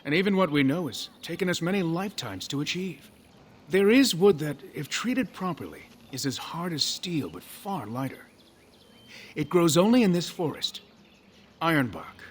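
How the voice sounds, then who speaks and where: Stern, high-voiced elven craftsman. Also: very animated, slight background noise. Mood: courteous, grave. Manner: formal, scolding, measured speech. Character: stern elven master craftsman, proud and fussy. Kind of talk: video game character dialogue.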